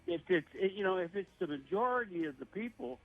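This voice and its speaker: A man's voice: high voice, lively intonation, brisk, phone-quality sound, faint music behind. A man calling in to a sports radio show, talking hesitantly and filling his speech with "you know.". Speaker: caller. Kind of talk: call-in comment.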